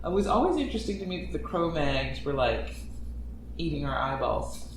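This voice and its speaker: A woman on a casual podcast, animated and self-mocking, laughing a lot as she talks. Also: low voice, moderate pace, echoey room, far from the mic, a little hiss or hum, low-quality recording. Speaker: podcast voice actor.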